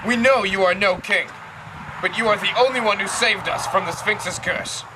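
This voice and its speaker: A man's voice: sits high, normal speed, noisy background, natural voice. A man playing a grave citizen elder who addresses his king formally and earnestly in an amateur Greek tragedy. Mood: grave, pleading.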